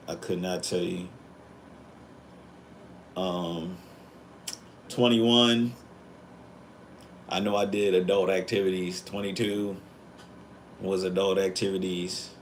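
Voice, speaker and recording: Deep-voiced man in his thirties rambling. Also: a little hiss or hum. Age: 30s. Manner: rambling, reflective, full of fillers. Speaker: streamer. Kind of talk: casual live-stream chat.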